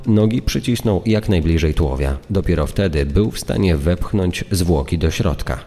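Deep male narrator, scripted crime storytelling, very low register, long echoing hall sound. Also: expressive, normal speed, mellow, soft background music. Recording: long reverb on the voice.

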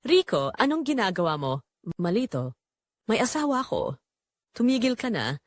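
A frightened mother pleads and stands her ground, acted by a woman narrator. Her pitch leaps about expressively in a dry, close audio drama recording. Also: very animated, normal speed, dry recording. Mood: frightened, wary.

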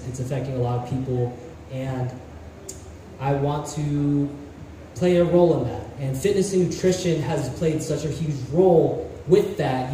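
A young man giving an earnest, halting self-introduction on stage as a pageant contestant, holding a handheld mic. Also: mid-range voice, warm tone, slight background noise. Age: young adult. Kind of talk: prepared self-introduction speech. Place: large indoor hall stage. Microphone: handheld mic, filmed from far. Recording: echoey hall from far off.

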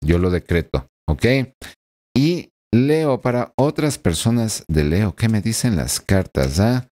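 Low, mellow-voiced man reading tarot. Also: sing-song, slow. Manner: earnest, addresses the listener directly with frequent tag questions. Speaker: tarot reader. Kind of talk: weekly horoscope tarot reading. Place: small home room with blinds and plants. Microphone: desk microphone in front of him.

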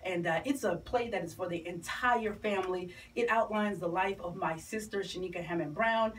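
A woman's voice: on the low side, moderate pace. A woman with a Southern US accent speaking animatedly and colloquially as a guest in a radio interview. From South Carolina, USA. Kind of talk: radio interview, promotional chat. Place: small radio studio, indoors. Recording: distant mic, clear background room noise.

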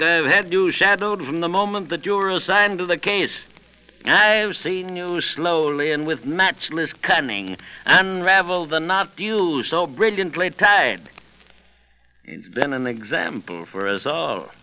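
A stern, shrewd French police chief, with a fairly high, forceful voice. He speaks formally and deliberately, as in a 1930s radio play, on a muffled old recording. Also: expressive, clear, resonant tone, full character voice. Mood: coolly accusing.

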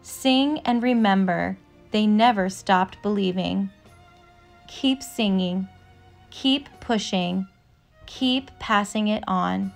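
A woman narrating a picture book aloud with measured, deliberate phrasing. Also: mid-range voice, gravelly, faint music behind. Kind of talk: storybook read-aloud, scripted narration.

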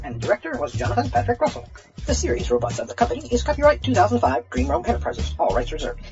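An announcer reading the scripted closing credits of an audio drama. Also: medium pitch. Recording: dull audio under loud music.

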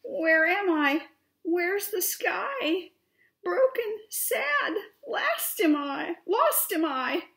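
Woman voicing a high, plaintive, lost moon. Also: expressive. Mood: sad, plaintive. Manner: slow, lilting, plaintive. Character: gentle, sad, lost female moon, poetic.

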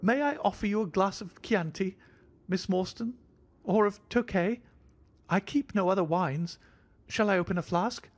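A man voicing a jittery, fussy little man of about thirty, an anxious hypochondriac with a thin, high voice. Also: normal speed. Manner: fidgety, effusive, fretful.

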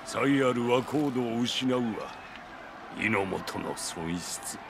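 An actor playing a magnanimous, genial middle-aged samurai lord in a game, speaking in a stately, measured way. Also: male voice, medium pitch, creaky, noisy background. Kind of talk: Japanese samurai game cutscene acting.

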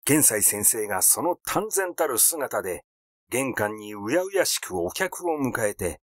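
A man voicing a rash, glib, excitable schemer in an audiobook, talking in a blunt, teasing, effusive way. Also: medium pitch, measured pace, dry recording, clean studio sound, pitched below the performer's own voice. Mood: excited, enthusiastic. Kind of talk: literary audiobook character dialogue.

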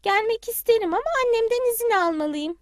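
A sweet little duckling child from a children's cartoon, voiced by a woman, polite and well-mannered. The voice is very high and springy, rising and falling brightly. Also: lively intonation, a little fast, no echo, studio quality.

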